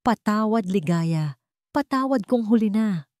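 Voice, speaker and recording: A woman's voice: mid-range voice, lively intonation, unhurried, a little mellow, dry recording, clean studio sound, voice pushed up, natural voice. A woman with a Filipino accent voicing a humbled young billionaire in a romance story, earnest and pleading. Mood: apologetic. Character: remorseful, humbled young billionaire man in love. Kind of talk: romance radio-drama story dialogue.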